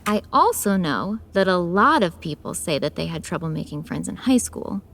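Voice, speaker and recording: A woman's voice: on the low side, animated, moderate pace, creaky, a bit bright, exaggerated voice. A young woman playing a sardonic loner mortician in an audio drama, with chatty asides to the listener. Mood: wry, confiding. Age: young adult. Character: wry young woman mortician, loner who sees monsters. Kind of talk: first-person audio drama narration and dialogue.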